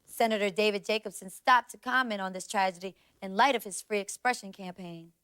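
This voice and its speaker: A woman's voice: medium pitch, fairly bright tone, smooth, lightly acted. Composed American newsreader, played by a woman, game cutscene, formal bulletin delivery, mid-range voice. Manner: formal, measured. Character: composed female television news anchor. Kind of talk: television news reading.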